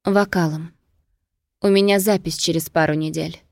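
A wary, proud opera star in a novel, as a woman narrator reads her. She speaks with a sardonic reserve in a slightly low voice. The recording is dry. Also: fairly even intonation, moderate pace, gravelly, a little mellow, no echo. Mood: cool, reserved. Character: proud Greek opera diva near forty, wary and sardonic.

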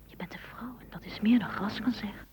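An actress in a radio play voicing a lonely, wistful blade of grass that asks questions in a hushed, breathy whisper. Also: female voice, medium pitch, fairly quick, lightly acted. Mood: questioning, wistful. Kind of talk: whispered radio drama acting. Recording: noisy old radio play recording.

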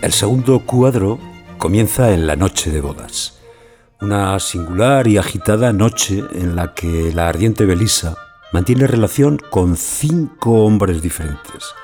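A man's voice: on the low side, expressive, a little slow, darkish tone, soft background music. A man giving scripted narration that introduces scenes of a stage play.

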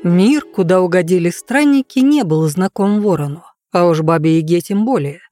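A woman narrating a fairy tale as an audiobook reader, in an expressive scripted style. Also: lowish voice, normal speed, dry recording, soft background music.

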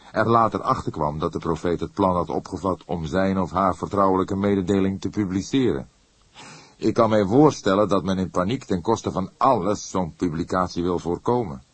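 A man playing a seasoned, thoughtful older police inspector in an audiobook, speaking in a measured, deliberate way. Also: low voice, husky, dry recording. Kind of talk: audiobook character acting.

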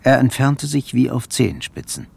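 A man giving measured, scripted literary narration for a radio drama. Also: medium pitch, expressive, gravelly, a little mellow, husky. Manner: measured, storytelling. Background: faint music.

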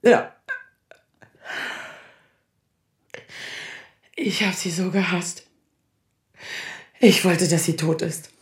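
A woman's voice: lowish voice, steady tone, slow pace, roomy sound. An actress playing a defensive, sardonic woman in her thirties, confessional, in naturalistic radio drama style. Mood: bitter, anguished. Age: 30s.

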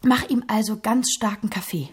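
An actress playing a tender, impulsive young daughter in love, earnest and urgent, in a German radio drama. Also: female voice, mid-range voice, normal speed, slightly rough, natural voice. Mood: eager, urgent.

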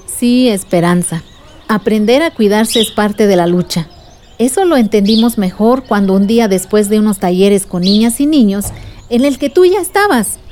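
A woman's voice: medium pitch, animated, normal speed, darkish tone, slight background noise, lightly acted. An actress playing an adult village woman, a patient, earnest activist recounting memories in a radio drama. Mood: patient, firm. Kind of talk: radio drama dialogue and narration.

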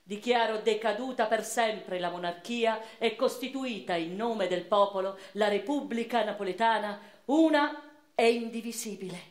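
An actress playing a cultured, impassioned woman revolutionary and newspaper writer in a theatrical monologue, declamatory and literary, with deliberate pauses and emphatic swells. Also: mid-range voice, natural voice. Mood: proclaiming. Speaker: stage and radio actress. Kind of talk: dramatic first-person theatrical monologue. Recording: roomy, reverberant theatrical recording.

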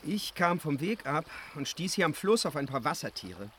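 A man's voice: on the high side, sing-song, normal speed, fairly bright tone, slight background noise. An actor playing a proud, guileless young dragon-slaying hero telling of his deeds in a fantasy radio play. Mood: open, untroubled. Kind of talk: radio drama storytelling.

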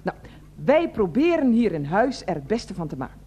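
An actress playing an older, self-righteous head of a residents' association in a radio play, wheezy, formal and repetitive. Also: female voice, mid-range voice, very animated, moderate pace, mellow, breathy edge. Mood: indignant. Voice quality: wheezy, asthmatic breathing. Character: fussy, indignant older woman heading a residents' association. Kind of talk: Dutch radio play acting.

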